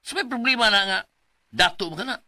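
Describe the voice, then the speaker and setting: A young man's mocking, skeptical best buddy in a radio drama. His high voice is very sharp and exclamatory. The sound is dry and close. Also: animated, a little fast, dry recording, full character voice. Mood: skeptical teasing.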